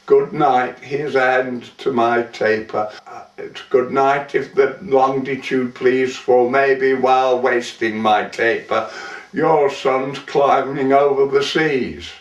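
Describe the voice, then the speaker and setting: Man reciting as a wistful young heiress, slow, echoey. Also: mid-range voice, steady tone, highs cut off, lightly acted. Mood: fond, teasing. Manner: theatrical, measured, with lingering pauses. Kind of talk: dramatic poetry recitation. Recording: roomy echo, distant microphone.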